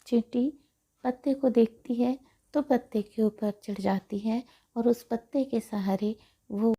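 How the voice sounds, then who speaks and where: A woman's voice: a little high, fairly even intonation, unhurried, warm tone, slightly rough. A woman narrating a moral story for children as a storyteller.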